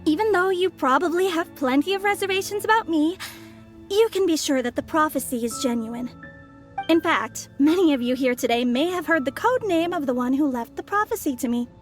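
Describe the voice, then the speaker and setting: Casting a poised, teasing young female schemer in a game, high voice, mock-courteous, music behind. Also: lively intonation, fairly quick. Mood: poised, mysterious. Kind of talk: English video game voice acting.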